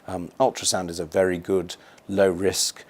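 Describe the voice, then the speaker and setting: A man speaking as a specialist doctor, giving measured explanatory interview answers for an educational film. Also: low-pitched, little pitch movement, breathy edge.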